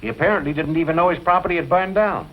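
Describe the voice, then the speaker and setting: An actor playing a courteous, talkative city attorney in an old film, speaking formally and precisely. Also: male voice, a little high, racing, lightly acted. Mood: formal, regretful. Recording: muffled, noisy old film soundtrack.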